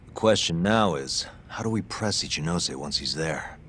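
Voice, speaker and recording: Low-voiced, wry detective. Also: male voice, lively intonation, moderate pace, a bit bright, slight background noise. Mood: businesslike. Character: wry, cool-headed male ex-lawyer detective. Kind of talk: English video game cutscene dub.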